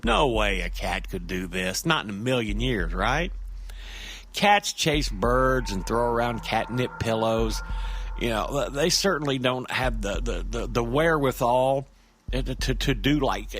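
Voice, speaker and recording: A man playing a hesitant, earnest everyman who tells his creepy story in a halting, folksy, conversational audio drama monologue. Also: mid-range voice, a bit bright, slight background noise. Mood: bemused.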